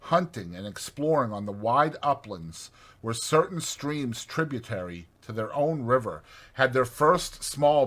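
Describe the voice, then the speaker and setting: A man narrating a classic storybook in an expressive, dramatized reading with deliberate phrasing. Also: mid-range voice. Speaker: audiobook narrator.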